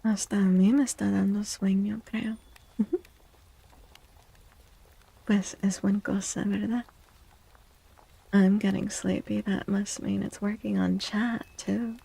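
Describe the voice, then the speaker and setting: A woman hosting a soft-spoken ASMR livestream, hushed and breathy, often slipping into whispers and gently repeating phrases. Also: mid-range voice, flat delivery, takes it slowly, pauses often, poor audio. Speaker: livestream host, tarot reader. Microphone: close microphone in a dry space.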